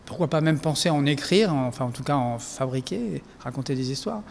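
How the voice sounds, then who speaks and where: Guy with a gravelly voice, halting, lots of ums, echoey room w/ some faint noise behind. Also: mid-range voice, lively intonation. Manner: hesitant, many fillers and restarts. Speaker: documentary filmmaker, interviewee. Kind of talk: reflective interview answers.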